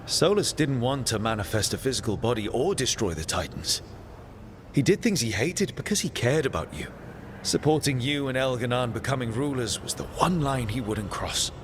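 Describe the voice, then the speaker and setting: A man's voice: medium pitch, expressive, normal speed, background noise, lightly acted. An actor playing a determined male adventurer in a fantasy video game, speaking earnestly and plainly. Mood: firm, persuasive. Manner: earnest, plain-spoken persuasion. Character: earnest, determined heroic male adventurer pleading his case. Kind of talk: dramatic video game dialogue.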